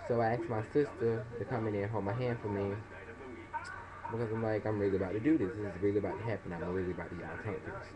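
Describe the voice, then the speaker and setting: A young man, casually telling a story in a vlog and acting out other people's voices. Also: fast. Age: young adult. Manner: animated, acts out other people's voices in high pitch. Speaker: vlogger. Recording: dull lo-fi webcam audio.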